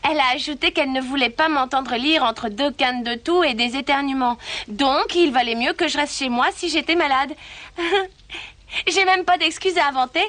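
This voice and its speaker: A woman's voice: high-pitched, moderate pace, fairly bright tone. A woman voicing a frank, cheerful tomboyish teenage girl in a cartoon who has a cold. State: stuffy with a cold.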